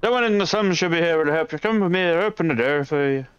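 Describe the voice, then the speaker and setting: A man's voice: on the high side, quick pace, clear, resonant tone, no room sound, highs cut off, pitched above the performer's own voice, natural voice. A man reading a young game character's lines in a put-on Irish accent, exaggerated and playful. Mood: earnest.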